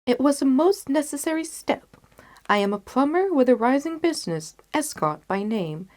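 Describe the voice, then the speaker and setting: Female reader as gentleman detective, storybook character, raised pitch, confident, articulate, emphatic, determined. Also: big pitch swings, normal speed. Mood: amused, confident. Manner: emphatic, articulate, self-assured.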